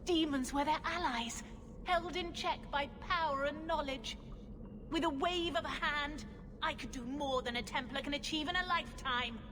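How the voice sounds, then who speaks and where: A woman's voice: high voice, moderate pace, lightly acted. An actress playing a haughty, scheming female blood mage villain in a video game, speaking in a grandiose, menacing, theatrical way. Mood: grandiose fury. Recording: long hall reverb, clear background noise.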